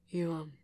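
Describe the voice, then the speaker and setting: A man voicing a shy, smitten young vampire in an ASMR roleplay, soft and halting. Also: dry recording.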